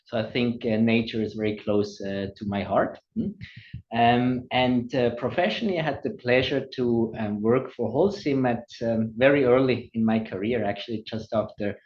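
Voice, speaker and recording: Swiss-accented man, hesitant and halting. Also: mid-range voice, darkish tone, highs cut off. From Switzerland. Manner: hesitant, many ums and uhs. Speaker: guest. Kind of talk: podcast interview.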